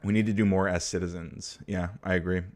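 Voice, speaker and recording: A man's voice: sits low, little pitch movement, fairly quick, darkish tone. A young American man hosting a livestream, chatting casually about politics and answering viewer comments, rambling with lots of fillers and getting blunt and profane. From United States. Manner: rambling and hesitant, full of fillers and restarts; blunt and profane when riled. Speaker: livestream host.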